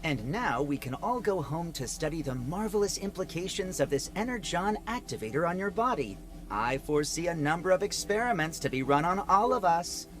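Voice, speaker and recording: A man's voice: a little high, big pitch swings, brisk, over music. A man playing an eager, nerdy scientist type in a video game cutscene, with pedantic phrasing.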